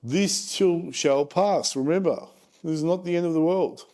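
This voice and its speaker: Man in his forties, slightly high voice, frank and casual, joking asides, investment presenter. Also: unhurried, mellow. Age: 40s. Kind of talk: casual presentation over charts.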